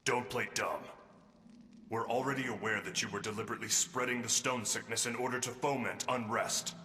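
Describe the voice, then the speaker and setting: A man's voice: medium pitch, steady tone, a little fast, bright, forceful tone, natural voice. A man playing a cool, analytical companion in a video game, speaking in a clipped, formal, matter-of-fact way. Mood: cool, stern. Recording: distant, noisy, reverberant hall.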